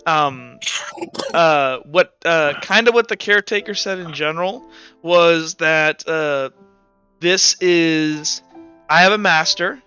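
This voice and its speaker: A man's voice: fairly high pitch, slow, sharp tone, clean tone, lo-fi sound, faint music behind. A man running a tabletop game as game master, explaining the scene in a loose, rambling way. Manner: rambling, full of fillers.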